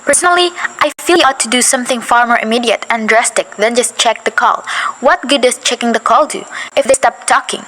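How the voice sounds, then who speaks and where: A woman's voice: fairly high pitch, a little fast, a bit bright, a little hiss or hum, close to an everyday voice, poor audio. A young actress playing an anxious, bedridden wealthy woman in a school radio play, fretful and insistent. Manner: fretful, insistent, rising to panic.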